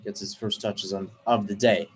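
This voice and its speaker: A man doing radio play-by-play for a soccer match, talking steadily through the passing and breaking off to explain tactics, then jumping up in pitch when a chance opens. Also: level tone, a little hiss or hum. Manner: brisk flowing call, rising sharply on chances; self-correcting, explains tactics between plays. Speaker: play-by-play commentator.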